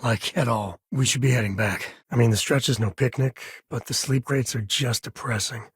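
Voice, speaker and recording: An actor playing an easygoing, wry spacefaring cowboy and devoted father in a video game, with a husky voice and folksy, drawling, dryly sarcastic phrasing. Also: medium pitch, normal speed, no room sound. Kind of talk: video game character dialogue.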